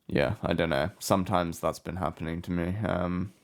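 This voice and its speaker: A man giving a casual software tutorial in a hesitant, offhand, unscripted way. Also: low voice, steady tone, moderate pace, vocal fry. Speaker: music production tutorial host. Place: indoor room with a window.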